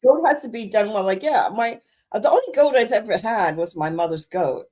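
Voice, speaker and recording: A woman's voice: medium pitch, expressive, fairly quick, a little mellow. A woman from the Caribbean, co-hosting a podcast in casual chat, laughing often and repeating herself. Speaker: podcast co-host.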